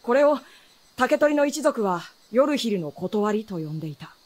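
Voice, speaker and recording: A woman's voice: a little high, sing-song, measured pace. A woman playing a guarded, knowing adolescent boy in a Japanese fantasy radio drama, speaking in a boyish, matter-of-fact way. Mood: solemn, explaining.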